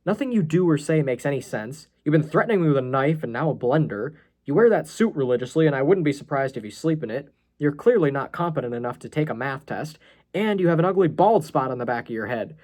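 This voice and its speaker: A man's voice: a little high, lively intonation, fast, darkish tone. Man acting an anxious, defiant schoolboy. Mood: scornful. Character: anxious schoolboy grandson, frightened yet defiant.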